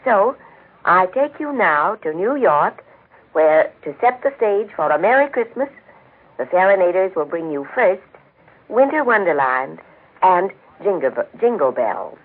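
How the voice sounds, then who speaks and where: A woman's voice: lowish voice, big pitch swings, a little slow. A woman with an American accent hosting a radio program, reading in a scripted 1940s style. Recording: thin phone-like 1940s radio recording.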